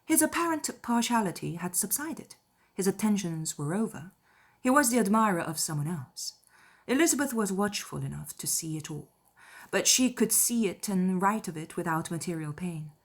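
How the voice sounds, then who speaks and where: A woman narrating a classic novel as an audiobook, in a measured, formal, scripted style. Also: medium pitch, expressive.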